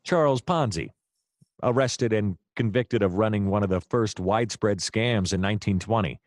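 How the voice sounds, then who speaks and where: An actor playing a hapless hard-boiled private detective, narrating his case in a wry, deadpan noir radio-play style. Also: lowish voice, moderate pace, no room sound, studio quality, lightly acted. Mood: eager, pushy.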